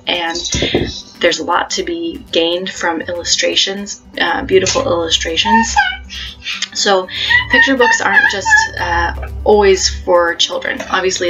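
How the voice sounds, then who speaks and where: A woman in her thirties talks casually to camera, explaining things in a hesitant way with thoughtful pauses. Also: fairly low pitch, a bit bright, rough recording. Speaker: homeschooling parent and video host. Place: small plain room at home, indoors.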